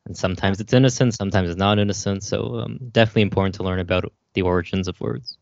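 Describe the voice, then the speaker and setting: A man hosting a casual podcast, speaking in a measured way and often searching for words. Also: low-pitched, steady tone, creaky, darkish tone. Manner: measured, searching for words, frequent "you know". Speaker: podcast host. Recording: dry, dull lo-fi sound.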